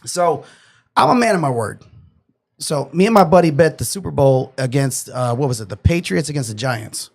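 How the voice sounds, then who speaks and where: A young man from New York tells stories in a loose, profane, animated way. His voice sits a little high for a man. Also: normal speed, creaky. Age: 20s. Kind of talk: casual storytelling.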